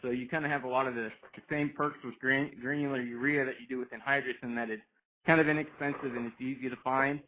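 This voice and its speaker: A man explaining things informally as a product specialist, halting and often repeating his words. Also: medium pitch, fairly even intonation. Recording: tinny, noisy phone conference line.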